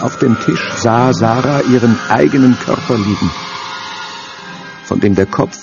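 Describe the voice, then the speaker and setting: A man narrating a scripted horror story in a measured, ominous manner. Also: medium pitch, gravelly. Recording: dull audio play sound over music. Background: music.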